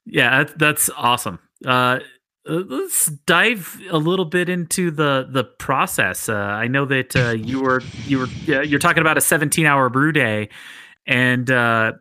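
A man hosting a podcast, interviewing casually and repeating words haltingly as he works toward his questions. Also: mid-range voice, sharp tone, dry recording. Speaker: podcast host.

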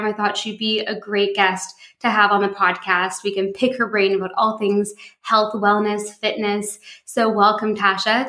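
A woman's voice: mid-range voice, fairly bright tone. Upbeat woman podcast host, roomy sound. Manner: upbeat, encouraging. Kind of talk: podcast interview.

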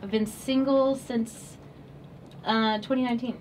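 A woman in her thirties, a podcast guest, who introduces herself slowly. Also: fairly high pitch, fairly even intonation, darkish tone, distant mic, a little hiss or hum, poor audio. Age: 30s.

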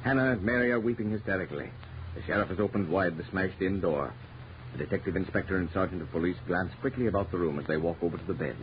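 A man's voice: deep voice, quick pace. A man narrating a scripted 1930s radio drama in a dramatic, declamatory manner. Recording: dull, noisy 1930s radio recording.

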